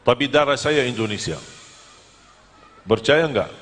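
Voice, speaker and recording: A man's voice: medium pitch, expressive, measured pace, fairly bright tone, faint music behind. A man raised in Medina who speaks Indonesian. He preaches to a live audience in a humorous, engaging way and asks them questions. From Medina, Saudi Arabia. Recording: long hall reverb.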